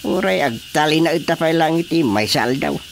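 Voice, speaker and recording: A man playing an excitable village schemer in a radio drama comedy. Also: on the high side, moderate pace, creaky, a little hiss or hum.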